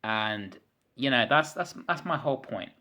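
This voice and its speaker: A man in his mid-thirties talks straight to his camera in a long, frustrated rant. He circles back over his words, stumbles on repeats and swears freely. His throat clearing keeps breaking into the flow. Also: medium pitch, expressive, normal speed, bright, forceful tone. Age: 30s. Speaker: vlogger. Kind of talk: frustrated ranting monologue to camera.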